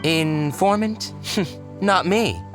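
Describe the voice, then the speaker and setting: An actor playing a slippery, evasive underworld informant in a video game, knowing and a little halting as he explains. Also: high-pitched, lively intonation, over music, full character voice. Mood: feigned ignorance. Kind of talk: video game character acting.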